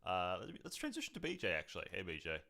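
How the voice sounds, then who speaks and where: A man's voice: deep voice, wildly swooping pitch, racing, vocal fry, pushed and bright. A man co-hosting a podcast in a casual, joking, bantering way. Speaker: podcast co-host. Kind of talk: casual chat on a livestream podcast.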